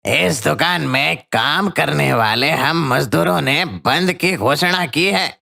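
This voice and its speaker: A defiant striking worker from an animated story, acted by a man. He speaks slowly in a forceful, gravelly voice with a firm, declamatory manner. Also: fairly high pitch, heavily acted.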